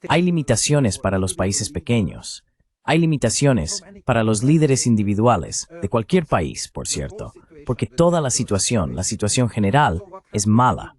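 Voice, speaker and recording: A man giving a Spanish voice-over of interview answers, explaining political analysis in a deliberate way with short emphatic phrases. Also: lowish voice, sing-song, a little mellow.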